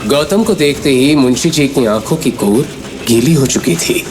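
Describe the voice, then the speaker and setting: A man's voice: medium pitch, animated, moderate pace, over music. A man narrating a scripted audio drama story in a dramatic storytelling style.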